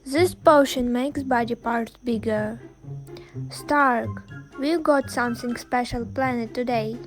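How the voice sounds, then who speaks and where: A woman's voice: a little high, slow. A woman performing a dramatic comic dub as narrator and voice actor. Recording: music behind the voice.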